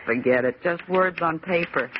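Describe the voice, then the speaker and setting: A woman's voice: low-pitched, moderate pace, close to an everyday voice. An actress playing a griping, sarcastic young woman typist in 1940s radio drama style. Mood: mocking, scoffing. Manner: brash, wisecracking, scoffing. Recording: muffled old radio recording, faint noise.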